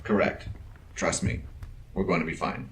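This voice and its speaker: An actor playing a calm, capable bodyguard in an audio drama, terse and protective, with deadpan, clipped, formal speech. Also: male voice, deep voice, normal speed, slight background noise. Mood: steady, reassuring.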